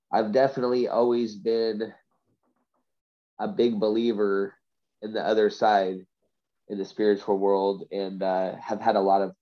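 Congested dude from vancouver telling personal stories, lowish voice, kinda muffled lo-fi audio. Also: male voice. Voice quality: congested, plugged-up nose. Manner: slangy, says bro, dude and you know. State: sick with a stuffy cold from covid. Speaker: podcast guest, hobby rapper and poet. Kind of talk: casual chat, personal storytelling.

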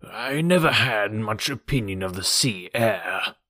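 Here's a man doing a frail, fretful old gentleman with a husky, breathy voice, fussing and doting as he frets over every chill and draught. His tone is gently insistent and worried, and the recording is dry and close. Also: on the low side, lively intonation, a little slow, no room sound, exaggerated voice. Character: fretful, fussy elderly gentleman, anxious about health. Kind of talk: audiobook character reading.

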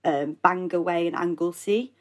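A woman's voice: lowish voice, moderate pace. A young adult woman from Wales, a guest actor on a podcast, talking casually from a holiday lodge over a remote call, laughing often and trailing off into fillers.

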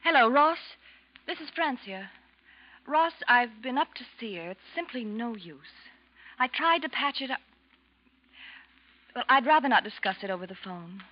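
A woman's voice: on the high side, animated, moderate pace, long pauses. An actress playing an intense, secretly yearning, scheming woman in old-time radio melodrama, earnest and emotionally charged. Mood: agitated, resigned. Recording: muffled, noisy old radio recording.

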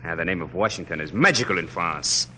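An actor playing an ardent, idealistic young French officer, speaking in an impassioned, oratorical radio drama style. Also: male voice, deep voice, expressive, fast, bright, forceful tone. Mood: fervent. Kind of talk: classic radio drama acting. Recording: noisy old radio recording.

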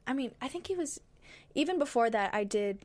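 A teenage girl guests in an interview, answering in a hesitant, soft-spoken way with plenty of fillers. Her voice sits a bit high, and the recording is dry and close. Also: expressive, brisk, no echo. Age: teens. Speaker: guest, young dancer. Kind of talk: casual interview.

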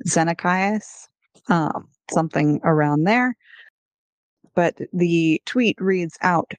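Female podcast host. Laid-back, conversational, voice sits a bit low. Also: a little mellow, no room sound. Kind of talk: casual podcast chat with some scripted intro.

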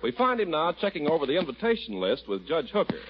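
A man announcing and narrating a 1940s radio comedy, smooth and emphatic. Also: fairly high pitch, very animated, quick pace, noisy background. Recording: dull old radio recording.

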